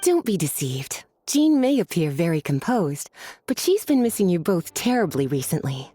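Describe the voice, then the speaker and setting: A mellow, teasing librarian. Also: female voice, on the low side, sing-song, normal speed, no echo, clean studio sound. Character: amused, knowing woman librarian, teasing. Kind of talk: game cutscene voice acting.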